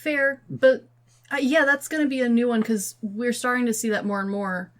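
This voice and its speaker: A woman's voice: fairly high pitch, steady tone, moderate pace, a bit bright. Chatty, opinionated woman podcaster. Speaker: podcast host. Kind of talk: casual podcast discussion.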